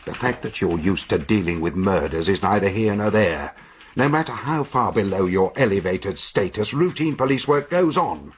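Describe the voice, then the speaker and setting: A man's voice: lowish voice, animated, moderate pace, slightly rough. An actor playing a stern senior police officer in a radio drama, scolding and commanding his inspector.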